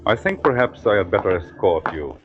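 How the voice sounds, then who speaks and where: Deep-voiced, courteous ship's doctor. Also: male voice, big pitch swings, over loud music. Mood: grave, placating. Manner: formal, measured, precise speech. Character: courteous older ship's doctor, calm and reassuring. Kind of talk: 1940s radio drama acting. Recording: dull vintage radio recording, busy background.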